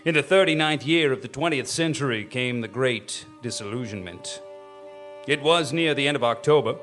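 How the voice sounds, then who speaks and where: A man's voice: medium pitch, a bit bright, faint music behind, close to an everyday voice. A man playing a grave, ominous storyteller, giving solemn scripted narration in a radio play. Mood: solemn, nostalgic. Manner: solemn, measured phrasing. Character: grave, ominous male narrator of an alien invasion tale.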